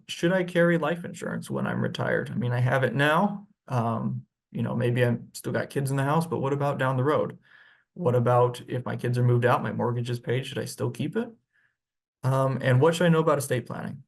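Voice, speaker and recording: Male financial advisor, mid-range voice, relaxed explainer style with fillers. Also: mid-range voice. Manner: explanatory, conversational with frequent "um". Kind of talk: webinar presentation.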